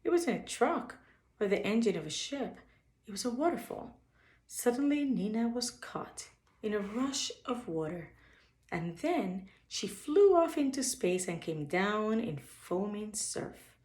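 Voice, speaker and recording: A woman's voice: mid-range voice, very animated, a little slow, darkish tone. A woman reading a children's bedtime story aloud as a storyteller, expressively, as if for young listeners. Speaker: storyteller reading a picture book. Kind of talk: read-aloud bedtime story with short asides on the pictures. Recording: echoey, roomy sound.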